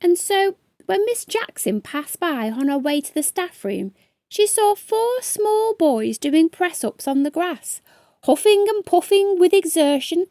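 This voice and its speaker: A woman reading a children's bedtime story aloud with expressive, careful phrasing. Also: very high-pitched, measured pace, no echo, clean studio sound.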